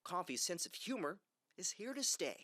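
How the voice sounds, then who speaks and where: Lively, lower-pitched woman reading news. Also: background noise. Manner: measured, emphatic news read. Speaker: news reporter. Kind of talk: scripted news voice-over.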